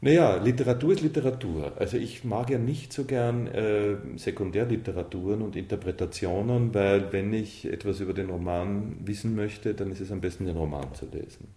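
A man in his fifties speaks in a low, mellow voice. He explains his views reflectively and with emphasis, as an author in an interview. The room adds noticeable echo. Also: brisk, few pauses. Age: 50s. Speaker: author and director being interviewed. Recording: roomy, reverberant interview sound.

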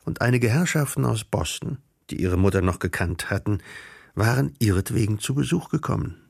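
A man narrating a radio drama in a measured, literary storytelling manner. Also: on the low side, animated, creaky, darkish tone, slightly rough, no echo, studio quality.

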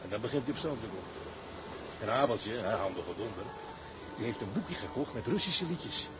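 A man's voice: lowish voice, animated, moderate pace, music in the background, lightly acted. A man playing a seasoned, blunt, cheeky seaman in a Dutch maritime radio drama, speaking in wry, salty slang. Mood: amused storytelling. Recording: noisy ship ambience behind voice.

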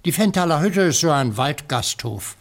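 A man's voice: fairly high pitch, expressive, moderate pace. An actor playing a courteous, salesmanlike male small-town shopkeeper in a radio crime drama. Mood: helpful, musing. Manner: obliging, polite, salesmanlike.

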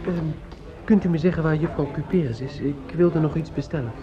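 Guy acting young man in love, bit high voice, earnest n hesitant, muffled old radio drama. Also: fairly quick, close to an everyday voice. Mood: polite, hesitant. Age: young adult. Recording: dull old radio play recording.

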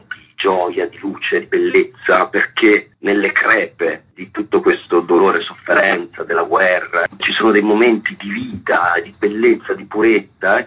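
A man's voice: sits low, husky, muffled recording. A man being interviewed as a theatre director, explaining his work in an earnest, effusive way.

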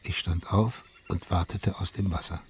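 An actor playing a haunted middle-aged veteran and father, narrating his story in a radio drama in a subdued, measured, reflective way with a husky voice. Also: sits low, fairly even intonation, noisy background, close to an everyday voice. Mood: somber, reflective. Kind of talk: literary first-person radio drama narration.

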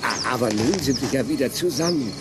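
A man's voice: medium pitch, lively intonation, brisk, heavy background noise, natural voice. A man playing a timid, whiny cemetery assistant, hesitant and stammering, in a children's radio play. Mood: nervous.

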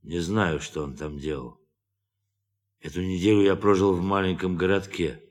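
An actor in an audio drama playing a brooding, idealistic astronaut who has turned killer, speaking in a measured, deliberate way. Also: male voice, low voice, fairly even intonation, in a large hall, natural voice. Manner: measured, deliberate, long pauses. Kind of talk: Russian audio drama acting.

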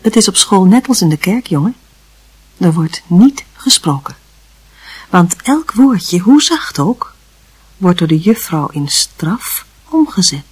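A woman playing a stern mother in a radio play, admonishing her young son with slow emphasis. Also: lowish voice, big pitch swings, husky, full character voice, poor audio.

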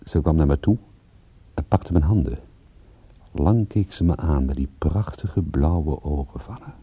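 Wry private eye in a radio play, husky low voice, dry and laconic, kinda dull lo-fi recording. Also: male voice, unhurried, full character voice. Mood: dry narrating. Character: wry male private detective narrating his own case. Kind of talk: radio play acting with first-person narration.

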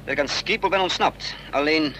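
An actor playing a bold, quick-witted fugitive in a Dutch radio play, urgent and commanding. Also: male voice, fairly high pitch, sharp tone. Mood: earnest, pressed. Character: bold hunted fugitive without memory, quick-witted man of action. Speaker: lead actor and first-person narrator. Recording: noisy radio play recording with vehicle sounds.

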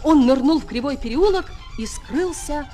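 Учительницы-рассказчицы, высокий голос, музыка. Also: female voice, high voice, expressive, normal speed. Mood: absorbed storytelling. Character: female schoolteacher quietly following and admiring her pupil. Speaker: narrator. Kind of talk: scripted narration in a children's audio play. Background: music.

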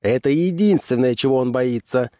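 Man as a showy, high-pitched fairground barker. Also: normal speed, warm tone, no room sound, clean studio sound, close to an everyday voice. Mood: dramatic hype. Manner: emphatic, dramatic sales patter. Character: showy male fairground barker hyping a dangerous exhibit.

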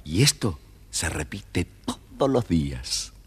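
Guy telling a kids story, low raspy voice, really animated, big reverb on it. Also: slow, over loud music. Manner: expressive, confiding, theatrical. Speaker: storyteller narrator. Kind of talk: dramatic scripted children's narration.

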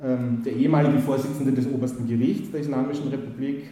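Hesitant lecturer in echoey hall. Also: male voice, mid-range voice, fairly even intonation, fast talker, mellow, a little hiss or hum. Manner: hesitant, full of "äh" and restarts, reads quotes aloud. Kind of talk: spoken lecture with many fillers.

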